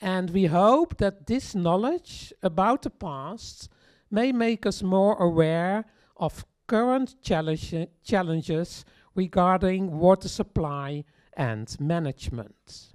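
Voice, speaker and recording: A presenter speaking at a launch event in a measured, deliberate way, sometimes correcting a word mid-phrase. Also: mid-range voice, expressive, darkish tone. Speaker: tour project presenter. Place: event hall.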